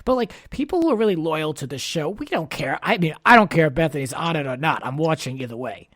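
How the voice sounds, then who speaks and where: A man's voice: a little high, lively intonation, quick pace, fairly bright tone, no echo, studio quality. A man hosting a podcast, chatting casually about pop culture in an opinionated, talkative way. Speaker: podcast host.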